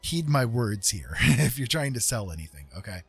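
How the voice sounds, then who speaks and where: A man's voice: medium pitch, big pitch swings, normal speed, gravelly, fairly bright tone, breathy edge, faint music behind. A man giving casual advice to viewers as a livestream host, talking in an informal, rambling way and often checking in with the listener.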